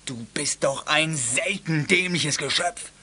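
An actor playing a short-tempered, gruff crime boss in a radio play, scolding and commanding. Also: male voice, a little high, takes it slowly, bright, forceful tone, heavily acted. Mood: angry, urgent. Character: bossy, short-tempered male crook, boss of scrap-metal thieves. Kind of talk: 1980s German youth radio play acting. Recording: noisy old cassette radio play.

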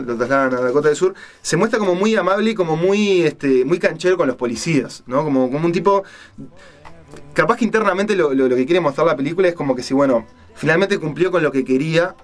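A man with a Rioplatense accent talks as a radio host in a casual storytelling way. His delivery is halting and hesitant, as he feels for the next word at a middling pitch. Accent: Rioplatense Spanish. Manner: hesitant, halting, searching for words.

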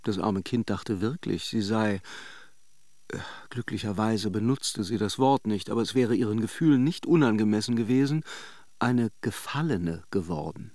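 An actor playing a worldly, ironic middle-aged man who recalls an affair in a radio drama, with deliberate hesitations. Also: on the low side, darkish tone, dry recording, lightly acted. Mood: wry, ironic. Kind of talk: radio drama monologue, narrated as a confession.

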